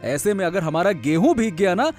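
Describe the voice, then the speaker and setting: Cartoon farmer played by a man: expressive, exclamatory, swinging intonation, music underneath. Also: sits high, brisk. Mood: urgent. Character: two farmer brothers, one anxious, one carefree. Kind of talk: animated Hindi cartoon dialogue.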